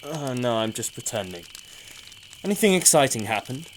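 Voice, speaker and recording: An actor playing a jaded, fatalistic mercenary in an audio drama, weary and drily sardonic. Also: male voice, mid-range voice, sing-song, moderate pace, a little hiss or hum, natural voice.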